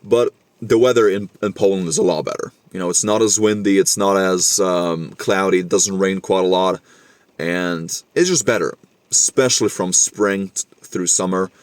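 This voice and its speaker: A man's voice: low voice, steady tone, moderate pace, smooth, no room sound. A young man with a slightly Scandinavian-tinged English accent, chatting casually to camera, repeating himself and calling the listener bro. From Norway. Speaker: vlogger.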